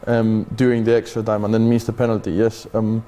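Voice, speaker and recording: A man on a football panel, explaining his views in a measured, hedging way. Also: on the low side, monotone, mellow. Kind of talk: casual football chat.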